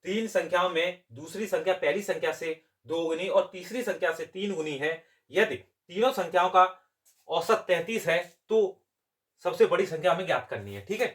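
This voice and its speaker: Male tutor, fairly high voice, explains step by step and answers his own questions. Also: normal speed, fairly bright tone. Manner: asks questions and answers them himself. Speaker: exam coaching maths teacher. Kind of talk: step-by-step lesson.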